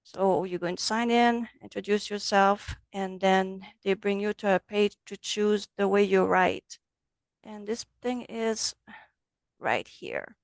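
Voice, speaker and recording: A woman with a French accent, a native French speaker, teaching a relaxed online software class over a video call. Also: mid-range voice, takes it slowly, no room sound. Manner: friendly, loose, switches between English and French. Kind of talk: casual online class walkthrough.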